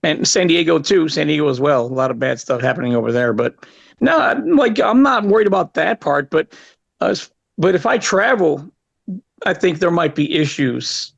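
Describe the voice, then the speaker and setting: A man with a Chicago accent, a podcast guest, talking casually with halting restarts and fillers. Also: fairly high pitch, animated, vocal fry. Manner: halting, hesitant, many fillers. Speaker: guest, content creator. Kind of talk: casual panel chat. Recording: dull lo-fi sound.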